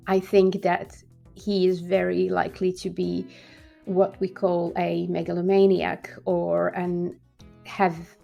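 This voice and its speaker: A woman from Serbia hosting her own channel, giving casual commentary with hesitant pauses, fillers and restarts. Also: lowish voice, vocal fry, darkish tone. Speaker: host. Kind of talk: casual talking-head commentary.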